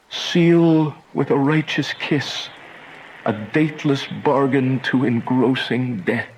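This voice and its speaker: An actor playing a passionate, despairing young lover in a Shakespearean radio drama, lamenting in a declamatory way. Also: male voice, fairly high pitch, slight background noise, low-quality recording. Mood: resolved despair.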